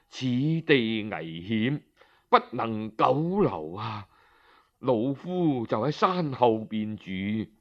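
A man voicing a kindly, courteous old physician living as a hermit, gently reassuring in a Cantonese storytelling style. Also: mid-range voice, muffled recording, voice pushed down, exaggerated voice. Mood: concerned, inviting. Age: older.

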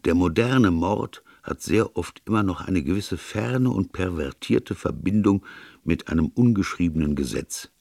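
Man voicing a deep-voiced, earnest priest detective. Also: a little slow, vocal fry, dry recording, studio quality, full character voice. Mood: grave, reflective. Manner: even-tempered, sincere, discursive. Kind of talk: audiobook character dialogue.

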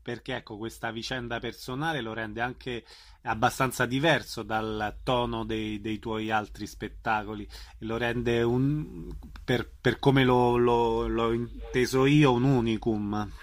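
A man's voice: medium pitch, steady tone, measured pace. A man hosting a conversational radio interview, putting questions and comments to his guest.

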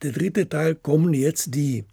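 Husky, gravelly man recalling his work. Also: a little high, dark tone, no room sound, studio quality. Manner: halting, often ends phrases with tag questions. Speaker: author of radio plays, guest. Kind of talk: interview recollection.

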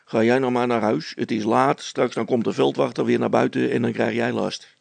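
Man acting a blunt, creaky-voiced grumbling fellow. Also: mid-range voice, fairly even intonation, moderate pace, no echo. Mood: dismissive. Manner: blunt, dismissive, colloquial. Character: gruff, bold man scolding a boy.

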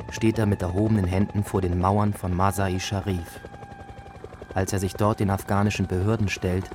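An actor narrating a war story for a radio drama, measured, sober and factual. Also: male voice, low-pitched, noisy background, close to an everyday voice. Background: loud music, gunfire effects.

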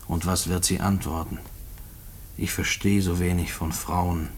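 An actor in a German radio play playing a gruff, despairing convict who speaks colloquially and haltingly. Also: male voice, deep voice, flat delivery, a little hiss or hum. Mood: tender, subdued. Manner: colloquial, halting, repeating himself when agitated. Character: gruff, despairing convict writing letters to his mother.

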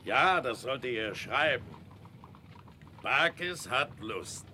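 An actor playing a bashful, awkwardly smitten country carter in a 1960s German radio drama, halting and plain-spoken. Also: male voice, mid-range voice, animated, sharp tone, exaggerated voice. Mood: shy, earnest. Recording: 1960s radio with clear background noise.

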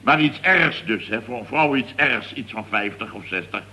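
A man's voice: medium pitch, expressive, normal speed, pushed and bright. A man playing a blustering, muddled contractor boss in 1970s radio comedy, flustered, stammering and repeating himself. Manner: flustered, repetitive, stammering restarts.

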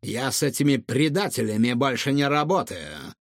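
A man voicing a greedy, defiant female swindler who shrieks in a high voice, in a dry audiobook recording. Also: very animated, normal speed, dry recording. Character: greedy shrill female swindler, ex-fortune-teller.

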